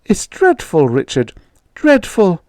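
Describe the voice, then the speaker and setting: A man voicing a gentle, worried mother who speaks softly. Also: high-pitched, wildly swooping pitch, takes it slowly, no echo, studio quality, voice pushed up, full character voice. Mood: dismayed.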